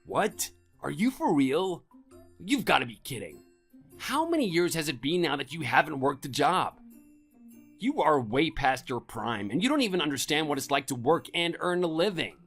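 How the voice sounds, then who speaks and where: A man's voice: a little high, big pitch swings, moderate pace, fairly bright tone, breathy edge, soft background music, full character voice. An actor playing a domineering, contemptuous middle-aged husband scolding his wife in a scripted audio drama. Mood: dismissive.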